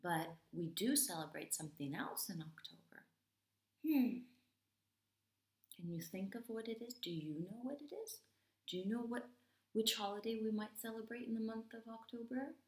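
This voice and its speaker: A woman's voice: on the high side, normal speed, a little mellow. A woman in her thirties, an English teacher, reading aloud expressively and explaining simply to children. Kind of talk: expressive picture-book read-aloud for young learners. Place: small home room, indoors. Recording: roomy home video sound.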